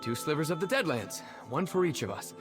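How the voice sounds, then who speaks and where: A young fantasy game hero, the overconfident twin brother. His voice is fairly high and swings freely, breezy and reassuring, always up for adventure. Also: sing-song, brisk, over music. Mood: breezy, confident. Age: young adult. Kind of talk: fantasy video game voice acting.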